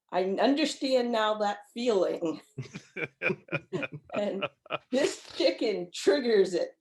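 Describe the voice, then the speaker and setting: A woman's voice: medium pitch, darkish tone. A woman author chatting casually as an interview guest, often acting out imagined lines of dialogue as she explains. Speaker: author guest discussing own short story. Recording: echoey room sound.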